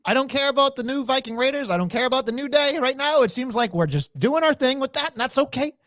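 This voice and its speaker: Lively, high-voiced teasing man. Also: fast, no echo, clean studio sound. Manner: teasing, banters, swears. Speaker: podcast co-host. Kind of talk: casual wrestling chat.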